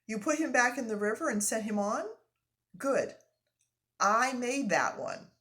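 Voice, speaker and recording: A woman as a slow, solemn young canoe maker. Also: on the high side, animated, pitched above the performer's own voice. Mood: quiet pride. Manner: soft, solemn, measured. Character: quiet, proud young Native canoe maker, tender.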